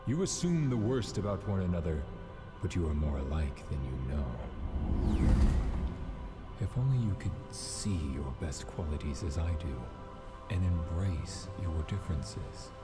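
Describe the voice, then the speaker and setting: Deep-voiced solemn deity, grave and commanding, animated fable, heavy score behind. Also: male voice, unhurried. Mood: solemn judgment. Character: solemn ancient male god of animals, calm and judging. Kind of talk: animated fable narration acting. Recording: loud music underneath.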